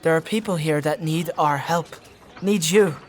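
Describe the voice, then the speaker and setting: An actor voices an earnest young squire boy in a dark fantasy audio drama. He is plain-spoken, questioning and naive, with a light youthful voice. Clear ambience with animal and bird sounds runs behind him. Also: mid-range voice, moderate pace, slight background noise, natural voice. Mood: urgent, pleading.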